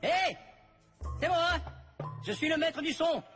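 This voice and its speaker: Hapless chatty young cartoon dude, bright piercing voice way up high. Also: male voice, lively intonation, a little slow, soft background music, heavily acted. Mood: nervous, cheeky. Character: hapless, chatty young man, self-declared master of sound. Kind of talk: French animated series dubbing.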